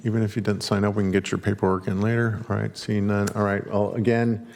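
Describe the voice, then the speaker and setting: A man chairs a public commission meeting and calls up speakers in a relaxed, procedural way. His voice is on the low side, and he often pauses on fillers. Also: vocal fry.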